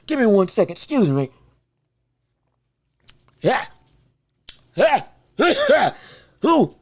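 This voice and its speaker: A man hosting his own sports podcast, talking with a scratchy voice, rambling excitedly with lots of fillers and restarts. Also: very high-pitched, very slow, dry recording, studio quality. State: scratchy, strained voice. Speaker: podcast host. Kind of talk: solo sports and wrestling podcast chat.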